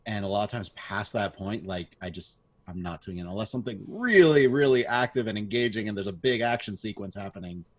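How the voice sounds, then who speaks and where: A man chatting casually as a podcast panelist, low-key, letting his sentences trail off. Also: lively intonation, a little fast, few pauses.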